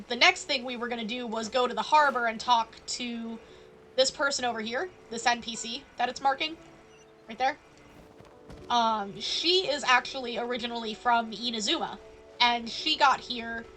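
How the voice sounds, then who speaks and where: A woman's voice: a little high, bright, forceful tone. A woman game streamer giving casual commentary, thinking aloud in a conversational way. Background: game music.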